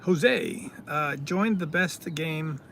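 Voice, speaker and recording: A man from California, hosting a casual live stream, reading out viewer comments and answering them in a halting way with many fillers. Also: fairly high pitch, creaky, a bit bright, slight background noise. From California, United States.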